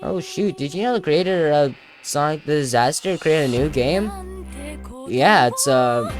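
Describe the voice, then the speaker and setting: Low-voiced woman doing playful gameplay commentary. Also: very animated, a little slow. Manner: jokey, mock-dramatic asides. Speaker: gaming video creator commenting on gameplay. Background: music.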